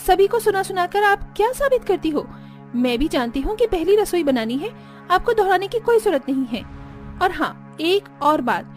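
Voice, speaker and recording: औरत की आवाज़ में ऊँची आवाज़ वाली नखरीली बहू. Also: female voice, very high-pitched. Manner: whiny, snippy, dismissive. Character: spoiled, lazy young daughter-in-law, vain and brazen. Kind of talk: Hindi animated family story dialogue.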